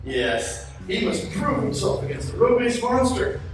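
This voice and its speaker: An echoey, distant live recording carries a scheming young prince, acted by a man, with music behind. He is arrogant and sneering, with swooping, animated pitch, in cartoon villain style. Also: a little slow, exaggerated voice. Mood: scornful. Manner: haughty, sneering delivery. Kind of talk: cartoon radio play acting.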